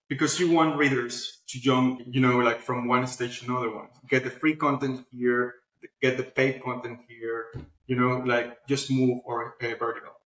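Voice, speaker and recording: A man's voice: medium pitch, a bit bright. Man explaining in a roomy echo. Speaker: interview guest, publishing platform founder. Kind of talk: conversational interview answers.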